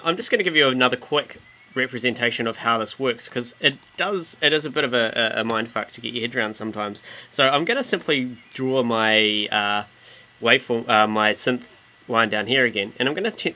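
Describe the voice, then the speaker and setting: A man with a New Zealand accent explaining software step by step in an informal, slangy way as a tutorial presenter. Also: mid-range voice, fairly quick, a little hiss or hum. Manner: relaxed, casual slangy explaining. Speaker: tutorial presenter and music producer.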